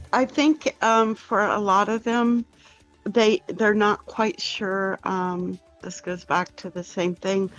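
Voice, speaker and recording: A woman's voice: medium pitch, highs cut off. A woman co-hosting a casual chat, hesitant and full of ums, laughing often. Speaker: co-host.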